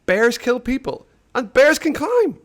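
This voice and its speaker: Storybook little boy, played by a man: scared and breathless, high-pitched, swinging between cries and whispers. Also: big pitch swings, unhurried, heavily acted. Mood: terrified. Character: frightened little boy lost in a winter forest.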